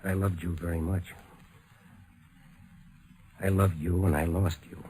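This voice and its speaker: An actor playing a lonely, heartbroken exiled naval officer with halting, earnest delivery in 1950s radio drama style. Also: male voice, sits low, fairly even intonation, mellow, rough recording. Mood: wistful, pained. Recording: vintage 1950s radio recording, music underneath.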